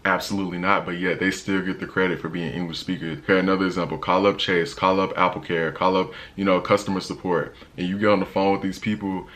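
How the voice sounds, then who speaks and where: A young man with a deep voice, talking casually in a travel vlog with a laid-back, slangy manner and lots of fillers. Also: level tone, quick pace, few pauses, a bit bright. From United States. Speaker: travel vlogger.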